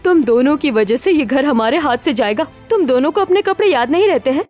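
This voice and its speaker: A woman plays a young modern woman from a cartoon story, fretful and chatty. Her voice is very high, with music under it. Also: animated, brisk, a little mellow. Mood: annoyed. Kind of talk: animated Hindi story dialogue.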